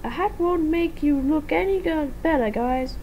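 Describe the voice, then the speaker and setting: A cheeky, vain animatronic rabbit, put on by a woman in a fan story. The voice is pitched very high and teases in a playful sing-song, with faint noise behind it. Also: normal speed, darkish tone. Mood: smug teasing.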